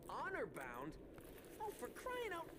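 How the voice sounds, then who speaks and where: A man's voice: extremely high pitch, huge pitch swings, a little slow, heavy background noise, exaggerated voice. An actor voicing an earnest young male mutant turtle who leads the group and gives orders. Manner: commanding, earnest. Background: game music.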